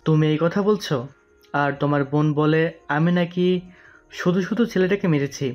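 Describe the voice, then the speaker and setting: A woman acts a blunt young man with a quick, protective temper in an audio story. The voice is lowish, the sound slightly muffled, with music faintly behind. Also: darkish tone, natural voice. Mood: indignant, defiant. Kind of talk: audio-story dialogue acting.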